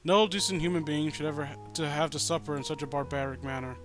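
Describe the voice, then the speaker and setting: An earnest police detective, voiced by a man reading aloud, gives a formal, measured address. His voice is slightly high, and music plays behind it. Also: steady tone, lightly acted. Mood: grave, apologetic. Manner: formal, measured public speaking. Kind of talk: dramatic reading of a story.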